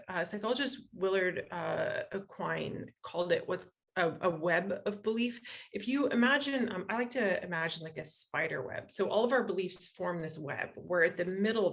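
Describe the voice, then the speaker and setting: A woman's voice: mid-range voice, gravelly. A woman from western Iowa with an American accent gives an explanatory public talk as a guest lecturer, conversational and checking in with rhetorical questions. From western Iowa, United States.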